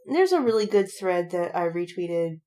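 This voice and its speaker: A woman's voice: fairly low pitch, big pitch swings, normal speed, dark tone. A woman in casual podcast chat about fandom, speaking emphatically with slang and swearing and repeating words for effect. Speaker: podcast co-host.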